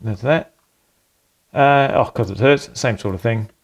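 Relaxed rambling man in a home studio. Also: mid-range voice, measured pace, loud music behind the voice. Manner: relaxed and rambling, with fillers and self-corrections. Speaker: home music producer and channel host. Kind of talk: casual walkthrough of a home recording. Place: small home studio room at a computer desk.

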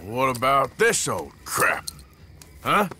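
An actor playing a tough, scornful American outlaw in a western video game, gruff, dry and blunt. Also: male voice, medium pitch, wildly swooping pitch, takes it slowly, pushed and bright, a little hiss or hum, exaggerated voice. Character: tough, scornful male outlaw in his thirties.

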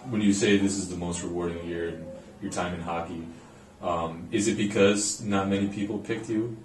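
Low, even man, off-mic. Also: moderate pace, distant mic. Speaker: sports reporter asking questions. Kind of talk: press conference questioning. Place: indoor press room, off camera. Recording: roomy, off-mic, clear background noise.

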